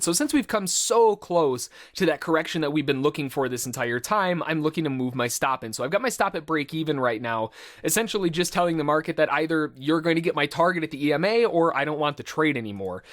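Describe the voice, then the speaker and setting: A man working as a trading instructor, giving informal, explanatory live commentary full of trading jargon in a conversational way. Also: fairly high pitch.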